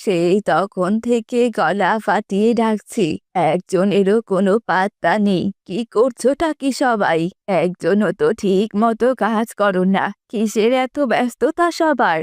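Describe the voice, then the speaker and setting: A woman voicing a bossy elderly mother-in-law who scolds and commands with drawn-out words in a family cartoon. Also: mid-range voice, expressive, darkish tone, no room sound, clean studio sound, lightly acted. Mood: scolding, exasperated. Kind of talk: Bengali family cartoon voice acting.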